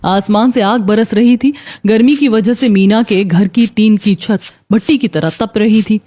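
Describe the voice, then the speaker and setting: A woman's voice: mid-range voice, unhurried, dark tone. A woman narrating a scripted moral story as a cartoon storyteller. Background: faint background music.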